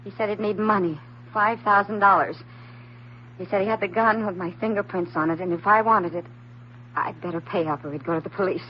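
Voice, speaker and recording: A woman's voice: medium pitch, natural voice. Woman acting a frightened, hesitant radio-drama heroine. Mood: anxious, confessing. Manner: hesitant, halting, earnest. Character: frightened, naive woman, anxious and pleading. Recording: dull, slightly noisy old radio recording.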